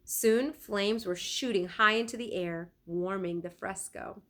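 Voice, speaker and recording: A woman reading a children's storybook aloud like a teacher, expressive and deliberate, pausing to put questions to her listeners. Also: medium pitch.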